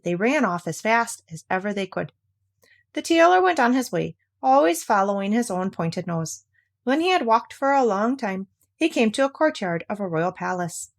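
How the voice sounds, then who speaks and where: A woman narrating a classic fairy tale, reading aloud from the text as a storyteller. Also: a little high.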